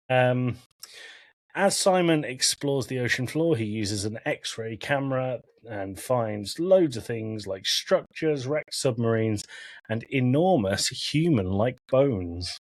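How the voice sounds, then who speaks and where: A man with a medium-pitched voice hosts an informal podcast. He talks casually, drops in joking asides and swears freely, and the recording is dry with very little room. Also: animated, slow pace, no echo. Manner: jokey asides, profane. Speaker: podcast host. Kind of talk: informal film and game discussion, recapping from notes.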